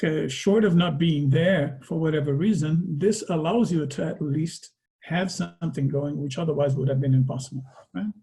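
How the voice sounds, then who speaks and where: A man's voice: on the high side, normal speed, mellow. A man with a Portuguese accent, a native Portuguese speaker, giving a conversational presentation over a video call and often ending his points with a tag question. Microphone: video call microphone.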